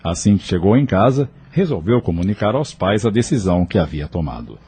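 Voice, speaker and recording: A man's voice: deep voice, big pitch swings, a little fast. A man reading scripted narration for an audio novel.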